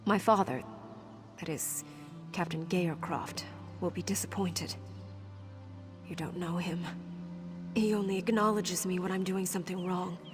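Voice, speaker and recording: A woman's voice: lowish voice, steady tone, measured pace, long pauses, lightly acted. A young woman voicing a wounded, defiant scout and dutiful daughter in a video game, speaking in an earnest, dramatic way. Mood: bitter, resigned. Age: young adult. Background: game music.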